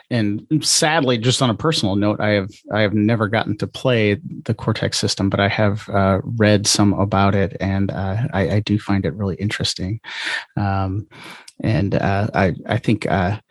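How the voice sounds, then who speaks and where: Male podcast host, middle-aged. Lowish voice, casual, hesitant phrasing, dry studio-like sound. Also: steady tone, dry recording. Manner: halting, many hesitations. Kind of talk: casual podcast interview chat.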